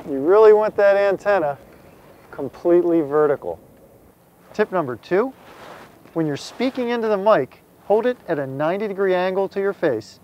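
A man's voice: high-pitched, darkish tone, slight background noise. A middle-aged American man presenting a scripted how-to to camera in a direct, folksy way, addressing viewers plainly. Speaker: presenter, magazine contributing editor. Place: outdoors on a small open boat on the water.

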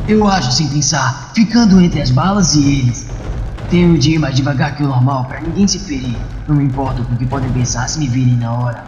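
A man's voice: mid-range voice, a little fast, lo-fi sound, noisy background. A man with a Brazilian accent giving dramatic narration of a comic book. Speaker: narrator and voice performer. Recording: echoey, reverberant, music underneath.

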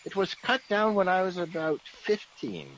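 An actor playing a fussy, sceptical British gentleman in a vintage radio drama, genteel, blustery and exclamatory. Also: high-pitched, steady tone, normal speed. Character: fussy, excitable, sceptical English gentleman of the manor. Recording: noisy vintage radio recording.

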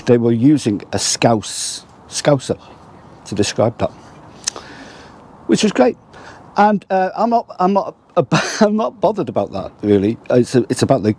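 Older man, Lancashire accent with a Liverpool tinge, warm low tone, hesitant, outdoor vlog, camera close. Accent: Lancashire with a slight Liverpool tinge. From Prescot, England. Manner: hesitant, many ums and restarts. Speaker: vlogger. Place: outdoors by a theatre at dusk. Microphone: camera close at arm's length.

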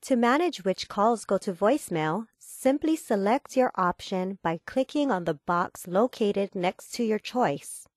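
A woman reading a scripted instructional voice-over in a measured, formal, step-by-step manner. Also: medium pitch, expressive, dry recording, clean studio sound. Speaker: tutorial narrator.

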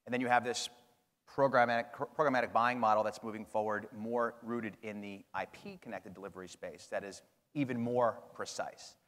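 A man's voice: lowish voice, steady tone, a little fast, fairly bright tone. A man moderating a conference panel, asking long framing questions. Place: large conference hall stage. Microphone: lapel mic. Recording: long hall reverb.

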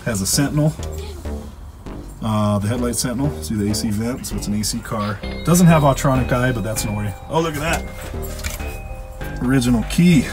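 A man's voice: mid-range voice, moderate pace, pauses often. An American man giving a casual, colloquial, opinionated walkaround as a car restorer. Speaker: car restorer presenting a find. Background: music.

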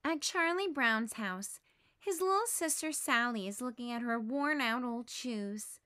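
A woman narrating a children's storybook aloud in a measured, expressive way. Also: a little high, dry recording, clean studio sound.

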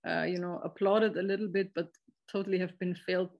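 A woman's voice: on the low side, fairly even intonation, fairly quick, vocal fry, highs cut off. A woman moderating an online seminar on a video call, talking in a loose, rambling way with many fillers.

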